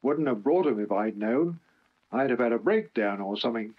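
A fierce, boastful bus from a children's storybook, acted out by a man reader. He growls and rants in a husky voice with big swings of pitch, on a dull, lo-fi recording. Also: lively intonation, moderate pace. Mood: grumbling. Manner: growling, snorting, ranting. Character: grumpy, fierce, boastful male double-decker bus.

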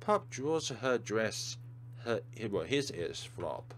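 A man's voice: lowish voice, big pitch swings, takes it slowly, a little hiss or hum. A man hosting a let's play, reading game narration aloud and adding casual commentary.

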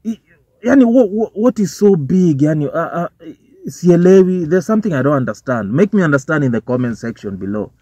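A man's voice: fairly high pitch, very animated, brisk, mellow, breathy edge, no room sound. A young Kenyan man with a Kenyan accent giving casual, profane commentary to camera from a parked car, mixing English with Swahili slang. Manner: informal, swearing, switching between English and Swahili slang. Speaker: vlogger. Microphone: phone or camera on the dashboard. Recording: dull, dry car recording.

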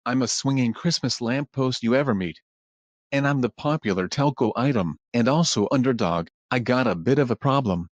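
A man plays a swinging singer lamppost from a homemade cartoon. The voice sits at a medium pitch and has a laid-back, complaining delivery. The recording is dry. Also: animated, moderate pace, dry recording, lightly acted. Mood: casual complaining. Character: swinging crooner Christmas lamppost with a sore throat.

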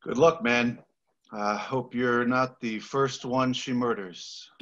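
A man reading a prison guard at a table read, with a laid-back deadpan delivery. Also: medium pitch, takes it slowly, lo-fi sound.

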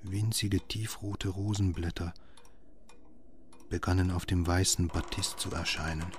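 A man playing a grim, haunted husband and father, narrating an audio horror drama in measured phrases. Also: sits low, steady tone, a little hiss or hum. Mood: numb. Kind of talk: scripted first-person horror narration.

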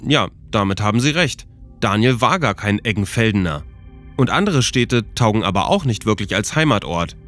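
A man's voice: fairly low pitch, animated, moderate pace, fairly bright tone, soft background music. A man reading scripted narration as the host of a documentary podcast.

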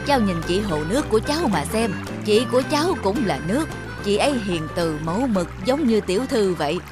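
A woman's voice: mid-range voice, expressive, a little fast, close to an everyday voice. A huge old mountain from a cartoon fable, acted by a woman. It is proud and pompous, scolding in a medium register over faint music.

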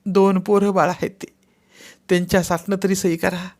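A man's voice: sits high, flat delivery, normal speed, a little mellow, pitched above the performer's own voice. A man voicing a tearful village wife who begs for her husband's life, in a sobbing, pleading way. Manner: begging, sobbing.

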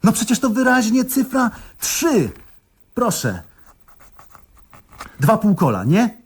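Excitable, husky poet with swooping intonation. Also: male voice, sits high, slow pace, full character voice. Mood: excited, pondering. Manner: hesitant, excitable, musing aloud. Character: earnest, eccentric male poet, flustered, proud of his verse. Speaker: actor in a radio play. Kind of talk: theatrical radio comedy dialogue.

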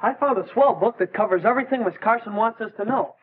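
An actor playing a polite, grateful teenage high school boy in an earnest, boyish 1940s educational film style, on a tinny, noisy soundtrack. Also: fast, lightly acted.

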